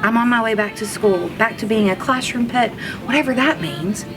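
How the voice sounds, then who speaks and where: A woman reading a children's storybook aloud like a teacher, expressive, drawing out words for emphasis. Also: on the high side, moderate pace. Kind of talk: children's storybook read-aloud, first-person narration. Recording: music under the voice. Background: background music.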